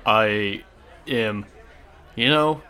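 A man playing a friendly, frank sailor in a tabletop game, speaking casually and politely with halting phrases. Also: on the low side, bright, forceful tone, clear, resonant tone, some chatter behind, heavily acted. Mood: easygoing, explanatory.

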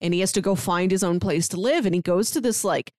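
A woman's voice: lowish voice, fast, darkish tone, no echo, clean studio sound. A woman co-hosting a manga discussion podcast, talking casually and slipping into little mock dialogues to make her points. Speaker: podcast co-host reviewing manga.

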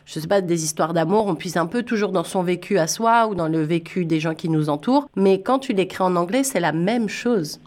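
A woman's voice: lowish voice, brisk, few pauses, darkish tone. A woman hosting a conversational radio interview, chatty and inclined to explain her thoughts as she goes. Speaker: radio host and music interviewer.

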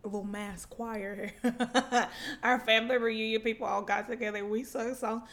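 A young woman co-hosting a casual podcast, telling stories with lots of laughter and a slightly hoarse voice. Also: on the high side, steady tone, normal speed, fairly bright tone. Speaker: podcast co-host. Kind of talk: casual chat.

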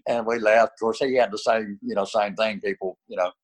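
A man's voice: on the low side, steady tone, brisk. An older man, a racing champion guest, answering interview questions in a folksy way, dropping "you know" often. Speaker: guest racer.

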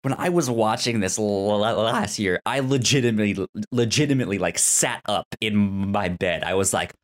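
A man co-hosting a podcast in casual TV recap chat, excitable and often stammering over repeated words. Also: fairly low pitch, moderate pace. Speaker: podcast co-host.

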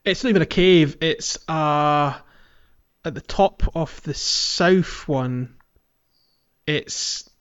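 A man co-hosting a podcast, chatting casually about video games in a halting, rambling way. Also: fairly high pitch, a bit bright, smooth, highs cut off. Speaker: podcast co-host. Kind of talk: casual video game playalong chat.

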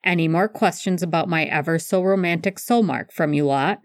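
Wry male schoolteacher for storybook reading woman performing, low register, teasing, fond, very dry sound. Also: normal speed, no echo, natural voice. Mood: wry, brisk.

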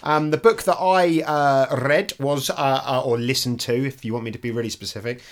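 A man's voice: medium pitch, fairly even intonation, moderate pace. A man co-hosting a casual podcast, joking, self-mocking and laughing often. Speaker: podcast co-host.

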